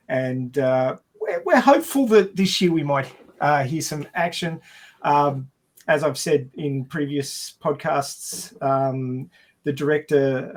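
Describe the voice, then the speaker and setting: A man chatting casually as a podcast panelist, with a hesitant, halting delivery. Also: mid-range voice. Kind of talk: casual chat.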